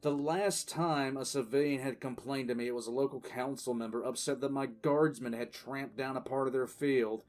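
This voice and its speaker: A man's voice: mid-range voice, steady tone, moderate pace, few pauses, a little mellow, natural voice. Weary military commissar telling his story, acted by a man. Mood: weary, bemused. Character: weary, cool-headed military commissar recounting his past. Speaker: narrator and lead voice actor. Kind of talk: dramatic first-person audio drama narration. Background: faint background music.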